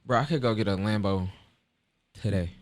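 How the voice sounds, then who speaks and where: A man in his twenties with a lowish voice, talking in earnest, slang-heavy casual chat. He sounds like a podcast co-host working through his plans out loud. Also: brisk. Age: 20s.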